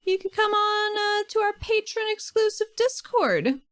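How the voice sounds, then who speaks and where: A woman playing in a tabletop role-play podcast who talks in a giggly, animated way. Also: very high-pitched, unhurried, dry recording, clean studio sound. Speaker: player and co-host.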